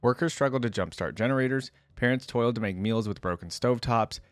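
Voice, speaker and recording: A man with a medium-pitched voice hosts a reaction video in a casual style. He moves between reading written material aloud and giving his own loose commentary. The sound is close and dry, with very little room in it. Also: fast, clear, resonant tone, no room sound. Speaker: YouTube reaction host.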